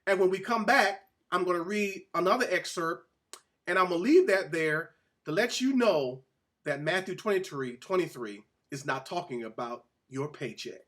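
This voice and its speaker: A man with a notably high voice presents a religious teaching talk. He moves between reading excerpts and explaining them directly to his audience. His delivery is emphatic and didactic, like an author walking listeners through his own argument. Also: animated, moderate pace, fairly bright tone.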